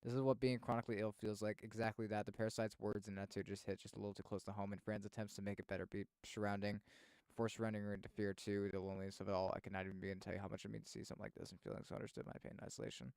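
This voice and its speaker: A man streaming casual reactions and commentary, expressive and thinking aloud as he goes. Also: lowish voice, rapid-fire, creaky, smooth, no room sound.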